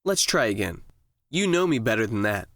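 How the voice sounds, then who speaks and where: A man's voice: lowish voice, sing-song, normal speed, fairly bright tone, no room sound, clean studio sound. A man playing a persistent, needling brother in an audiobook drama, casual and coaxing in manner. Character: Jags, needling, persistent brother who pries for confidences.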